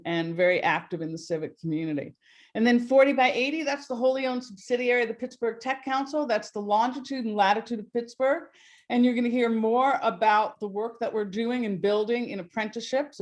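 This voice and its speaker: A woman hosting a live webinar over a video call, talking in a warm, rambling way with many fillers. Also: medium pitch. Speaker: host.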